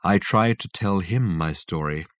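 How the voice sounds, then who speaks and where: A man voicing an ancient male alien ghost in an audiobook, grave and formal, with measured, solemn speech. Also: sits low, no echo, studio quality. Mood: grave, confessional.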